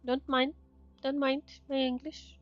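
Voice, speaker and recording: A woman, a native Russian speaker with a Russian accent, giving casual, halting, encouraging commentary as she streams a game. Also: high voice, flat delivery, dry recording, muffled recording. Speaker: VTuber streamer. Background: faint game music.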